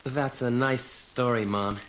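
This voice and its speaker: A man's voice: mid-range voice, steady tone, takes it slowly, slight background noise, natural voice. An actor playing an earnest, curious teenage boy, exclaiming in wide-eyed amazement in a children's read-along story. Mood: polite.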